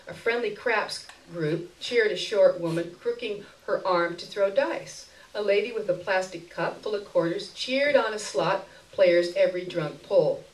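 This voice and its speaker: A woman's voice: on the low side, a little mellow. Woman reading fiction aloud in a roomy space. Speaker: reader of a short story. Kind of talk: expressive live literary reading, first-person narration. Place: indoor stage before an audience. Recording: roomy live stage recording, distant mic.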